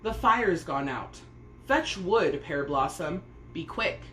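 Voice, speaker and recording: A woman's voice: fairly low pitch, expressive, takes it slowly, exaggerated voice. A mean, jealous storybook stepmother grumbles and snaps out orders, played by a woman reader. Her voice rings in a roomy space with faint noise. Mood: grumbling. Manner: grumbling, sharp commands. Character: mean, scolding, jealous middle-aged stepmother.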